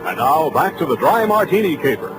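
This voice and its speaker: A man's voice: a little high, sing-song, fairly quick, loud music behind the voice. A man with an American accent announcing in a polished, upbeat 1940s radio advertising style.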